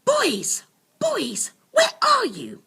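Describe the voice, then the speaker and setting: A woman voicing a worried, indignant mother in a storybook, loud and scolding. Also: very high voice, wildly swooping pitch, extremely slow, vocal fry, pitched above the performer's own voice, heavily acted. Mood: anxious calling. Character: scolding, worried mother calling her boys. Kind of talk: storybook character reading.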